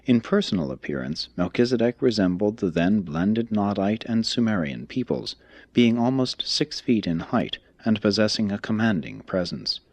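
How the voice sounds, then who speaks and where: A man reading a religious text aloud as scripted narration, in a formal, measured, expository manner. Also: on the low side, expressive, no echo. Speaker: audiobook reader.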